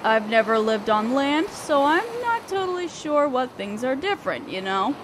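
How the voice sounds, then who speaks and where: A young female selkie, acted by a woman in a fantasy audio roleplay, with a fairly high and very animated voice. She sounds guarded and teasing, with a hesitant curiosity under the aloofness. Surf and wind are clearly heard behind her. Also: normal speed. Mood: musing, casual. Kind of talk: scripted roleplay audio drama addressed to the listener. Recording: ocean waves and wind behind voice. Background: surf, waves and wind sound effects.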